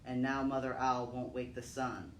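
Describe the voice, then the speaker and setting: A man voicing a grave, commanding lion king in a storybook, with slow, emphatic, solemn delivery. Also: mid-range voice, little pitch movement, slight background noise, close to an everyday voice. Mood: proclaiming. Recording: roomy, echoing, distant microphone.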